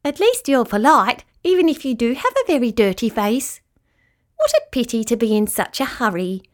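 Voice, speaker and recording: A woman's voice: fairly high pitch, huge pitch swings, normal speed. A woman voicing a proud, opinionated rabbit in a children's storybook, haughty and emphatic but good-natured. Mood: smug, friendly.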